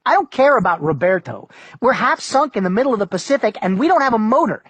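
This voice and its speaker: A frustrated American man adrift at sea, sarcastic and exasperated, as a reader voices him. The tone is a little husky and recorded close and dry. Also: mid-range voice, sing-song, quick pace, a bit bright, no echo, dull audio, pitched above the performer's own voice.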